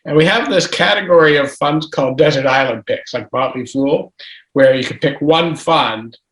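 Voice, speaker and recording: A man's voice: on the high side, moderate pace, a bit bright, echoey room, low-quality recording. A man, a financial journalist, talking in a hesitant, rambling way with frequent restarts on a video call. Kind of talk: casual panel discussion.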